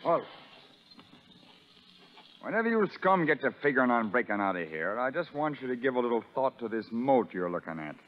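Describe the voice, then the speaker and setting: An actor playing a sadistic, vengeful prison sergeant who taunts and barks orders in a 1930s radio drama. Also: male voice, medium pitch, big pitch swings, normal speed, long pauses, lightly acted. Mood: furious, bullying. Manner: menacing, taunting, barking orders. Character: sadistic, vengeful male prison sergeant bullying new convicts. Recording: narrow muffled 1930s radio broadcast, reverberant.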